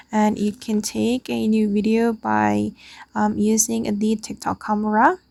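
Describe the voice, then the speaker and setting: Female tutorial host, casual and informal, gravelly fry in the voice, very dry close recording. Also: medium pitch, dark tone, no room sound. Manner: informal, addresses viewers as guys. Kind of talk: casual step-by-step screen tutorial narration.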